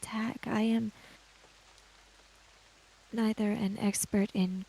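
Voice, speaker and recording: A woman playing an earnest, worried female samurai in a tabletop game, speaking in halting, formal phrases. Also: medium pitch, little pitch movement, creaky, mellow, poor audio. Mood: anxious, cautious.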